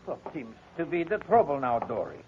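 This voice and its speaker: An actor playing a mild, befuddled elderly carpenter in an old 1940s radio drama. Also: male voice, a little high, sing-song, fairly quick, noisy background. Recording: muffled, tinny old radio recording.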